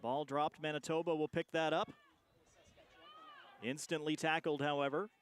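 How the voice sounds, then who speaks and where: A man's voice: a little high, level tone, moderate pace. A man giving live play-by-play sports commentary on a rugby match.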